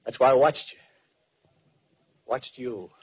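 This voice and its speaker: An American actor playing a fanatical, rough-spoken soldier survivor in a 1930s radio drama, talking in clipped, insistent slang. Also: male voice, medium pitch, takes it slowly. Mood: fierce, insistent. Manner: colloquial tough-guy talk, clipped phrases, insistent. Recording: dull, muffled 1930s radio broadcast recording.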